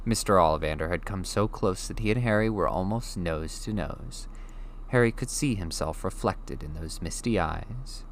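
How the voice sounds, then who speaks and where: A man narrating a children's fantasy book in a steady, measured way. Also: deep voice, slight background noise. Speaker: audiobook reader. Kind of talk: storybook narration.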